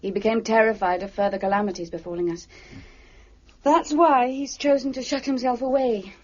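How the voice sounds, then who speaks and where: An actress playing a genteel, wistful sister of a reclusive gentleman in a period radio drama, formal and confiding. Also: on the high side, fairly even intonation, fairly quick, highs cut off, natural voice. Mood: wistful, grave.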